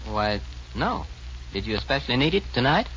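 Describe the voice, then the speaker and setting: An actor playing an earnest, good-hearted, folksy cowboy in a 1940s radio western. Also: male voice, mid-range voice, sing-song, brisk, a bit bright, slight background noise. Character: earnest, good-hearted cowboy, protective of kindly old ladies. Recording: muffled 1940s radio recording.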